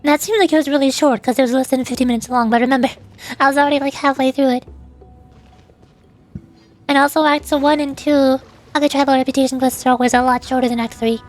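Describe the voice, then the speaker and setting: A woman streaming a video game in a high, cute voice. She chats playfully and reads dialogue aloud between her own comments. Faint music sits behind her. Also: steady tone, fast talker. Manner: cutesy, playful, chatty.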